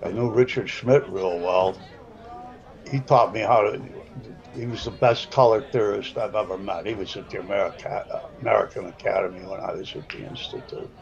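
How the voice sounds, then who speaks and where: Older Chicago-accented pool commentator. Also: male voice, fairly low pitch, fairly even intonation, moderate pace, creaky, lo-fi sound, a crowd in the background. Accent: South Side Chicago. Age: 70s. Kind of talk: rambling color commentary.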